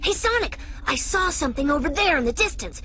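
Game sidekick: eager, slightly anxious little fox boy, high and very expressive. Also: fairly quick, slight background noise, low-quality recording. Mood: uneasy, curious. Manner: childlike, earnest. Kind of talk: video game cutscene acting. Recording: music behind the voice.

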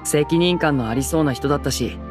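A man playing a courteous, cautious young man in a game, speaking gently and tentatively. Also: fairly high pitch, fairly quick, close to an everyday voice. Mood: suggesting. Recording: music clearly under the voice.

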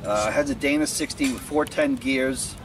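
A man's voice: mid-range voice, normal speed. A man, a car owner talking casually about his car at an outdoor show and listing parts matter-of-factly. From Long Island, New York. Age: 40s. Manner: rattles off technical parts lists matter-of-factly. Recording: outdoor noise behind.

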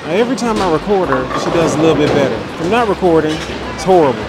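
A man's voice: a little high, lively intonation, very fast, low-quality recording. A man in his thirties chatting casually and teasingly as a vlogger in a large indoor bowling alley. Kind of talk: casual chat and commentary. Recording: loud music behind, busy bowling alley.

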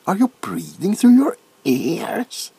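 A middle-aged man playing a nervous, bewildered, awkwardly polite doctor in a soft-spoken comedic ASMR roleplay, stammering and repeating himself. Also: sits high, wildly swooping pitch, takes it slowly, creaky, breathy edge, no room sound, pitched above the performer's own voice, full character voice. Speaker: ASMR performer.

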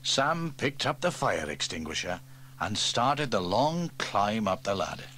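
Lively storybook reader with tape hiss. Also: male voice, a little slow. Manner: lively, switches into higher voices for characters. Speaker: audiobook reader. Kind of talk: storybook narration with character voices. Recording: faint tape hiss from old cassette.

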